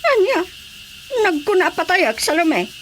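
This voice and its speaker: A woman's voice: very high-pitched, sing-song, a little slow, fairly bright tone, a little hiss or hum, exaggerated voice. A woman playing a bold, teasingly lustful female rebel commander in a radio drama. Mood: insistent.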